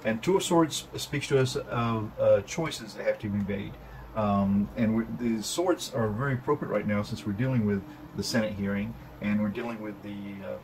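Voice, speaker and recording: A man's voice: fairly low pitch, noisy background. Man musing over tarot cards. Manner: halting, many fillers and restarts. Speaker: tarot reader. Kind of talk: unscripted tarot reading. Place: indoors at a table.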